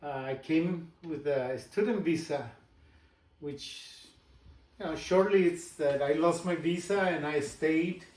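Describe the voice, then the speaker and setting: Older Guatemalan-accented man, higher pitched. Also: a little mellow, roomy sound, distant mic. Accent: Guatemalan Spanish. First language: Spanish. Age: 60s. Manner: halting, personal storytelling with pauses and restarts. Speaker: business owner guest. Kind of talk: casual interview.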